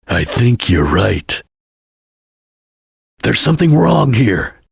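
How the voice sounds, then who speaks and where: An actor voicing a serious, disciplined male ninja chameleon, husky and earnest, in a video game. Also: mid-range voice, big pitch swings, slow pace, no room sound, clean studio sound, heavily acted. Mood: grave.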